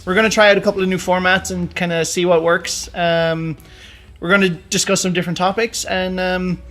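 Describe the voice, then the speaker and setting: A man's voice: high voice, level tone, a little fast, fairly bright tone, faint music behind. A man co-hosting a podcast in casual, conversational chat about films and games. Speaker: podcast co-host.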